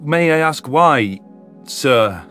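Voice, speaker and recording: A man's voice: on the high side, lively intonation, slow pace, a bit bright, soft background music. A man voicing an anxious, grumbling alien soldier with a condescending streak in a sci-fi audio drama. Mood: nervous, wary. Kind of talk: audio drama character dialogue.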